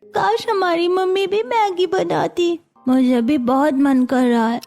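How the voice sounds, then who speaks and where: A woman's voice: very high voice, slow pace, creaky, a little mellow, faint music behind, full character voice. A woman voicing a pleading, complaining, stubborn young schoolchild in a cartoon story. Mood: pleading, whiny. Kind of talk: Hindi cartoon story dialogue.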